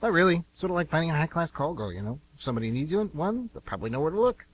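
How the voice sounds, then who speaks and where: A woman playing a secretive, touchy younger brother with a husky voice and evasive, hesitant delivery in an amateur radio drama. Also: low-pitched, sing-song, rapid-fire, exaggerated voice. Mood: defensive, irritable. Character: shifty, defensive younger brother, secretive grown man.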